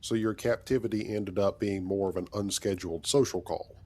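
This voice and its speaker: A man playing an earnest, altruistic starship captain in scripted game dialogue, with a measured delivery. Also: fairly low pitch, fairly even intonation, a little mellow, natural voice.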